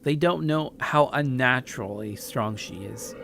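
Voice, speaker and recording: A man's voice: mid-range voice, measured pace, creaky, fairly bright tone, a little hiss or hum. A man reading a story aloud in a casual way, stumbling over words and correcting himself. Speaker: video maker reading aloud a story game. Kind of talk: casual read-aloud narration with asides.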